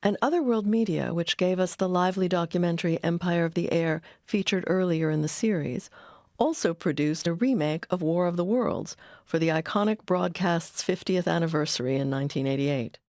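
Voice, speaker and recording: A woman's voice: lowish voice, fairly quick, a little mellow, no echo, studio quality. A woman delivering scripted narration as the narrator of a documentary.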